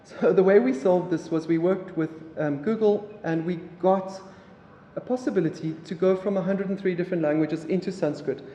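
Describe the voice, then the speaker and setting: A man from South Africa, an Afrikaans speaker, giving a relaxed public lecture with halting phrasing and many fillers. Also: high voice, dull audio, slight background noise. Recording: echoey hall, mic from afar.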